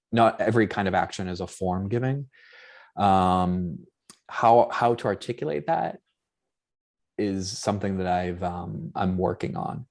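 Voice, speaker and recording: Panelist: man, light Boston accent, lowish pitch, academic, tentative and searching, video call. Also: a little mellow. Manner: thinks aloud, tentative and searching. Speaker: anthropologist panelist.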